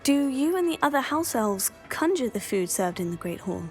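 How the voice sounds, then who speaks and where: A curious teenage witch, speaking. She is courteous and inquisitive, a student heroine in a fantasy game, with music clearly playing behind her. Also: high-pitched, sing-song, normal speed. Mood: polite. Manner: polite, inquisitive. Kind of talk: video game character acting.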